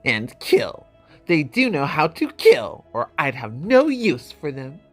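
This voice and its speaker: A man performs an arrogant young prince of a fantasy saga, petulant and entitled. The voice climbs high and lingers imperiously on words, with music playing behind it. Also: huge pitch swings, a bit bright, exaggerated voice. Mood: haughty impatience. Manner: imperious, drawn-out, sneering delivery.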